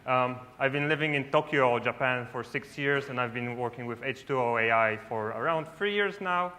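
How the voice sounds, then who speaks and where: Bright-voiced man giving a talk. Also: mid-range voice, moderate pace. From Poland. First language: Polish. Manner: casual, peppers sentences with "right?". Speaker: conference speaker. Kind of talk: conference talk. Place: large lit stage.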